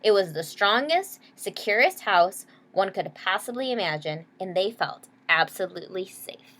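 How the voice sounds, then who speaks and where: A woman reading a children's picture book aloud expressively, pausing to explain words and ask young listeners questions. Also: mid-range voice, expressive, a bit bright. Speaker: read-aloud storyteller. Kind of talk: expressive children's story narration.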